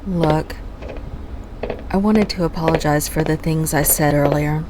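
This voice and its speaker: A woman's voice: low voice, steady tone, a little slow, noisy background. A woman playing a defiant, sarcastic fighter pilot who argues with her commander in a fan audio drama. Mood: sardonic. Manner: sarcastic, argumentative.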